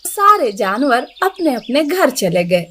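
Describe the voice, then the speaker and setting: Female narrator for a children's moral tale: high register, measured slow read, faint birdsong underneath. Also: big pitch swings, sharp tone, slight background noise. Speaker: story narrator. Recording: birdsong and ambience behind the voice.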